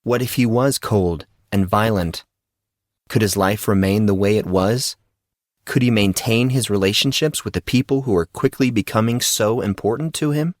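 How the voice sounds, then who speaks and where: A man narrating a fiction audiobook in a dramatic, deliberate scripted style. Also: on the low side, dry recording, studio quality.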